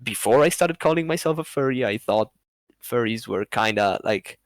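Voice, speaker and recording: A man as a podcast guest, telling a story in a rambling, animated way with laughs between phrases. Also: mid-range voice, moderate pace, no echo. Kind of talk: casual podcast chat.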